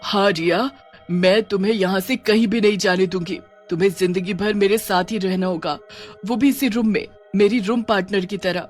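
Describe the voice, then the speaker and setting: A woman's voice: medium pitch, steady tone, faint music behind, lightly acted. A woman voicing a possessive young female ghost witch in a cartoon horror story, taunting and drawing out her words. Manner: slow, drawn-out, taunting with gloating laughs.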